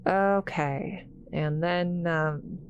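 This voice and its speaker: A woman playing an awkward, kind scientist in a roleplay audio drama, halting and apologetic, stammering as she talks to the listener. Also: lowish voice, steady tone, highs cut off, a little hiss or hum. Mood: rushed, businesslike.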